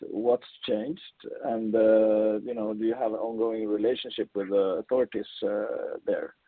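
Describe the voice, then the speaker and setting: A dry, dull call line carries a man with a husky, fairly low voice. He is an analyst asking questions off the cuff, tentatively restarting as he goes. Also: steady tone, normal speed. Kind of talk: unscripted questions on an earnings call. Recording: dry, dull band-limited phone line.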